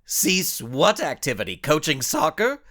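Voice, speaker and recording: A man's voice: on the high side, wildly swooping pitch, a little slow, pushed and bright, no echo, studio quality, heavily acted. A man voicing a haughty male skull-headed villain in a story, formal and easily affronted. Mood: indignant. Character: indignant male skull-headed villain coaching children's soccer.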